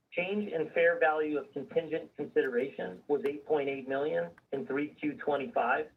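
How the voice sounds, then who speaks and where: Husky man reading formally, distant sound. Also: a little high, phone-quality sound. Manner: formal, reading prepared figures. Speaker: company finance executive presenting quarterly results. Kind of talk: scripted earnings call presentation.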